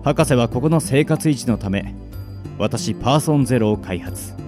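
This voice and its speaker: A man playing a long-lived artificial caretaker in a radio drama, calm, devoted and casually philosophical. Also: medium pitch, normal speed, lightly acted. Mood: calm, reflective. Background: music.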